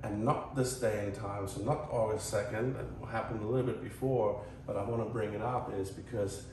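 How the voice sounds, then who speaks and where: A man from Croatia with a Croatian accent, talking casually to viewers as a tennis channel host in a halting, earnest, rambling way. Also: fairly low pitch, steady tone, darkish tone. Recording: echoey, distant mic, faint noise.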